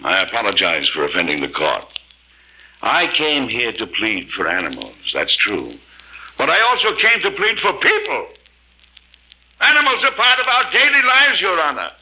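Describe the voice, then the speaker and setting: A man's voice: medium pitch, huge pitch swings, normal speed, sharp tone, exaggerated voice, poor audio. An actor playing an earnest, principled man who pleads for animals, speaking formally and persuasively in an old-time radio drama. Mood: impassioned. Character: earnest male animal-welfare advocate, principled. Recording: faintly hissy old radio recording.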